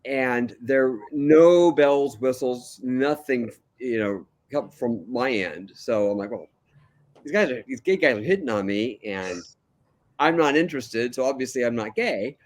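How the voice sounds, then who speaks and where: Calm older man telling his story. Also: mid-range voice, a little slow. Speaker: interview guest. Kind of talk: casual storytelling.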